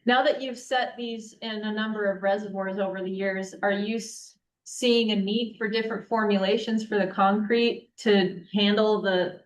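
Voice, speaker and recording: A woman's voice: medium pitch, steady tone, moderate pace, few pauses. Casual woman asking a workshop question, distant mic, roomy echo. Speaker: audience member asking a question. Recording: echoey room, distant mic, faint noise.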